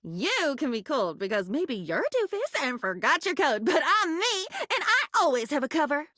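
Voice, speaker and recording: An actress voicing a video game character, a sentient diary woman who is intense and whimsical, musing poetically in halting phrases and sudden bursts. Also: sits high, sing-song, pushed and bright, no room sound, clean studio sound, heavily acted. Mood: animated, indignant.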